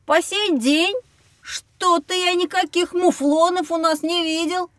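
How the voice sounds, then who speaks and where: A curious cheeky little boy, high voice, kids audio drama, dry studio sound. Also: measured pace, dry recording. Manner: expressive, inquisitive, a little cocky. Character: curious, cheeky young boy on a learning adventure. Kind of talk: scripted children's educational audio drama.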